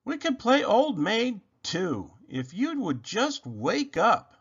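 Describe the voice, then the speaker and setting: A man voicing an excitable, fussy young male rabbit in a children's storybook, exclaiming with exasperation. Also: sits high, slow, slightly rough, lo-fi sound, pitched above the performer's own voice, exaggerated voice. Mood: coaxing, impatient.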